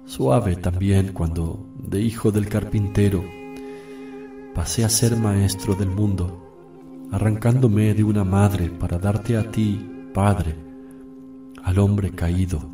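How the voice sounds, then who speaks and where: An actor playing an anguished, solemn man praying sorrowfully to his divine Father in a radio drama, with long pauses. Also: on the low side, fairly even intonation. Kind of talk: radio drama acting, slow prayerful monologue. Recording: distant, roomy, with loud music behind.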